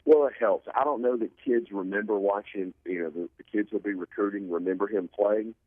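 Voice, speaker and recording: Man, very low husky voice, sports commentator, emphatic repetition, thin phone-like sound. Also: fairly quick, no room sound. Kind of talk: sports interview analysis. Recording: narrow phone-like line.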